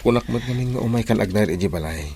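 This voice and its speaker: An actor playing a gentle, supportive young suitor in an Ilocano radio drama. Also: male voice, on the low side, fast, a little hiss or hum, close to an everyday voice. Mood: consoling.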